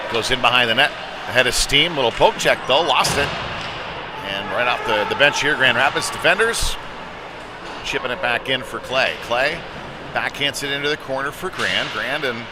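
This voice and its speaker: A man calls a hockey game live as the play unfolds. He speaks in short, clipped fragments at a medium pitch. Clear venue noise runs behind his voice. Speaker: play-by-play commentator. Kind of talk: live youth hockey commentary. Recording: noisy rink, clear background noise.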